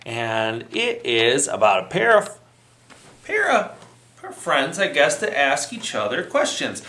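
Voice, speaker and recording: A man's voice: mid-range voice, huge pitch swings, unhurried, sharp tone. A man hosting storytime for young children, encouraging and playful, giving simple, repeated instructions. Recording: roomy, echoing sound.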